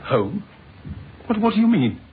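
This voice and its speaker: An indignant British novelist in an old-fashioned radio drama. His voice is high and swoops widely in pitch as he insists, haughtily, on being believed. The recording is narrow and phone-like, with some hiss. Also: big pitch swings, a little slow. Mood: flustered, disbelieving. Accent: British English. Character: agitated male novelist insisting he is a murderer.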